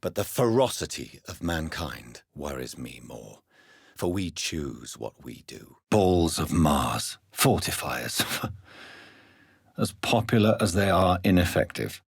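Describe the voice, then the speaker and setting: An actor playing a refined, brooding doctor turned vampire in a video game, formal and articulate, with a deep, husky voice. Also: male voice, sing-song, slow, no room sound, studio quality, full character voice. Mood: analytical, grave. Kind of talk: dramatic video game voice acting.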